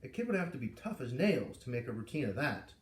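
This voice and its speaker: A man reading a story aloud in an expressive, informal way, narrating like a storybook reader. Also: medium pitch, quick pace. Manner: animated, informal asides. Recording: echoey room, far from the mic.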